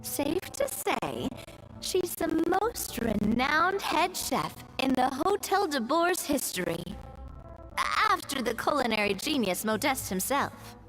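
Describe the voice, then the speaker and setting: A woman voicing a thoughtful, helpful young woman in a game, explaining things in a measured way. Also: sits high, very animated, fairly bright tone, soft background music, full character voice, low-quality recording. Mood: explanatory. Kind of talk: game dialogue acting.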